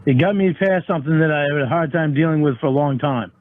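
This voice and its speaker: Phone-line male caller, higher-pitched, defensive and foul-mouthed, rough grammar, heated argument. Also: fast talker, over a noisy crowd. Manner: defensive, profane, nonstandard grammar. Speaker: caller in a confrontation. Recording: tinny narrow phone line.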